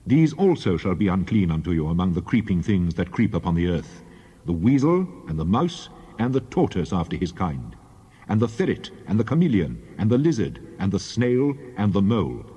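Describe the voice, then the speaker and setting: A man with a low, dark, slightly husky voice reads scripture solemnly. His deliberate, declamatory delivery rings out in a long hall reverb. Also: big pitch swings, dull audio. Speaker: Bible reader.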